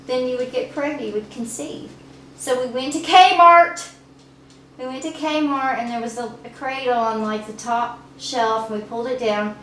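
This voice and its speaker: A middle-aged woman telling family stories in a chatty, animated way as a regular on a family channel. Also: fairly high pitch. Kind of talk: casual storytime chat. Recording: echoey room with faint noise.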